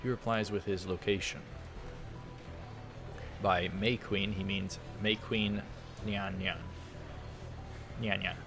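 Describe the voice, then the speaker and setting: A man's voice: deep voice, little pitch movement, slow, creaky, long pauses. A man hosting a let's play, reading visual novel text aloud and adding casual, offhand comments. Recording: music behind the voice. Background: game music.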